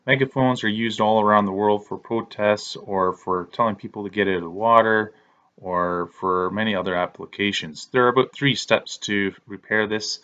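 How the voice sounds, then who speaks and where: A man giving step-by-step instructional narration as a repair technician, in a halting, plain-spoken way. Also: fairly low pitch, fairly even intonation, a bit bright, dull audio. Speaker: repair technician presenting a tutorial.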